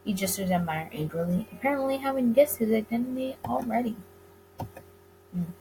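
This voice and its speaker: A woman with a fairly high voice reads a story game aloud as a let's player. She delivers the narration dramatically and drops in casual remarks. There is noticeable background noise and hum in the recording. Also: normal speed, warm tone, poor audio. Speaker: let's player reading a visual novel aloud. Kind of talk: dramatic reading of game text with casual asides.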